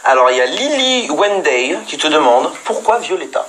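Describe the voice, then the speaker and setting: A man's voice: on the high side, very animated, normal speed, a bit bright, a little hiss or hum, rough recording. A man hosting a casual live stream, reading out viewers' questions in an informal, teasing way. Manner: informal, teasing, filler-heavy banter. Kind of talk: casual, joking interview chat.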